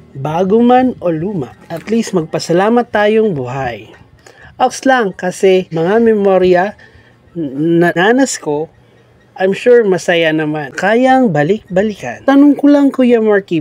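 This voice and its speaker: A man's voice: high-pitched, lively intonation, unhurried, darkish tone, dry recording, faint music behind. A man with a Filipino accent speaks as the host of a bedtime storytelling show. He presents listener letters and his own remarks, slipping between two languages as he goes. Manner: switches between Tagalog and English. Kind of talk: reading listener letters aloud.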